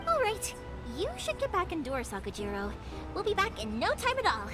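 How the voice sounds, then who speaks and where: Bubbly young fireworks girl, real high and bouncy, game music behind. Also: wildly swooping pitch, full character voice. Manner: bubbly, upbeat, quick to reassure. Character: cheerful young woman fireworks maker. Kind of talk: game dialogue.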